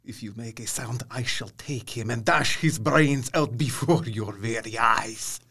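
An actor playing an ancient, imperious vampire count who speaks in a grandiose, commanding manner in an audio drama. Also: male voice, medium pitch, measured pace, creaky, bright, forceful tone, breathy edge, full character voice. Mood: menacing, scornful.

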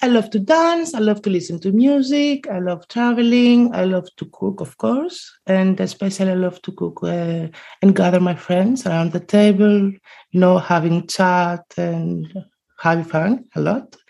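A woman in her early forties with a Greek accent, introducing herself casually as a podcast guest in simple, halting phrases. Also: medium pitch, vocal fry, mellow. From Greece. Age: early 40s. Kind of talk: casual conversational self-introduction.

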